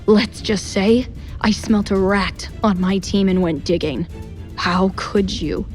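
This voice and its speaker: A woman voicing an angry, proud young prince in an audio drama, with a forceful, accusatory manner. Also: medium pitch, slow pace, soft background music, close to an everyday voice. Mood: furious. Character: angry young prince confronting his father, proud and indignant.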